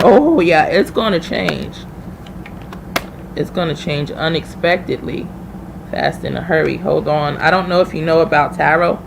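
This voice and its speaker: A woman's voice: low-pitched, vocal fry, slight background noise, poor audio. A woman hosting a live tarot reading, chatting informally and familiarly with viewers as she thinks aloud. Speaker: tarot reader hosting a livestream.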